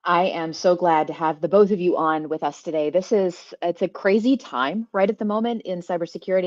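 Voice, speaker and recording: A woman hosts an online panel with animated, emphatic delivery. Her voice sits a little low, and the sound is dry and muffled. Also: no room sound. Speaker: host. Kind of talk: webinar hosting. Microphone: video call.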